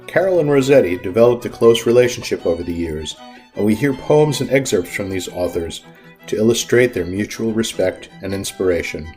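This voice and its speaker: Low-voiced man narrating over soft music. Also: expressive, brisk. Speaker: podcast host. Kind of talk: scripted introduction narration.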